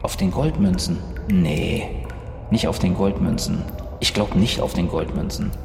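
A man's voice: low-pitched, measured pace, vocal fry, a little mellow, faint music behind. A man improvising as a player in a tabletop role-playing game, thinking aloud hesitantly. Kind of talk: improvised in-character tabletop play. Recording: echoey, reverberant, faint background noise.

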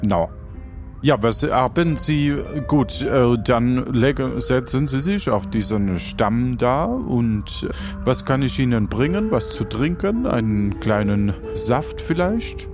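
A man playing a hospitable but easily offended man-eater in an improvised audio drama, speaking formally and haltingly. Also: mid-range voice, lively intonation, music in the background. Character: touchy, hospitable male man-eater serving dubious food. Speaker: game master.

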